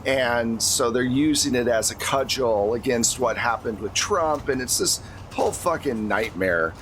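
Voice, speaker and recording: A man's voice: mid-range voice, a little slow, fairly bright tone, slight background noise. An American man acting as game master, talking casually and conversationally as he narrates a tabletop role-playing game. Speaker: game master of an actual-play podcast.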